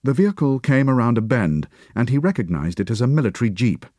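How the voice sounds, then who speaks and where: A man reading an audiobook in a measured, expressive storytelling voice. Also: medium pitch, dry recording, clean studio sound. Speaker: audiobook narrator. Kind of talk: scripted narration.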